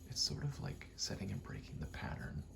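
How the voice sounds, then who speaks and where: A man softly rambling in a casual ASMR style, breathy and often whispering, sweary and self-deprecating. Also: a little fast. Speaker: video creator rambling to camera. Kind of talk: soft-spoken ASMR ramble. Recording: clear background noise, rattling vent. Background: rattling vent noise.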